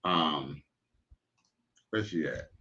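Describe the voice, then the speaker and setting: Crude joking man with a bright voice. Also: a bass voice, extremely slow. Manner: crude, joking, loose slang. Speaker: live stream host. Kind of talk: casual reaction commentary. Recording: dull lo-fi stream audio.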